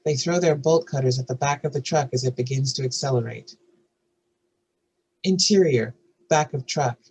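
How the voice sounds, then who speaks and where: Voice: medium pitch, normal speed, a little mellow, slight background noise. A narrator reading scene headings and action lines from a screenplay at a table read. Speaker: narrator reading stage directions.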